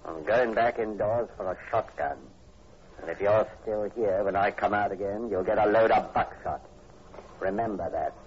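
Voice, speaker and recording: A dull, faintly hissy old radio recording of a stern farm landowner acted by a man. His voice is fairly low and deliberate. He sounds threatening, in classic 1940s horror-drama fashion. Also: natural voice. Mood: menacing. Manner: menacing, deliberate.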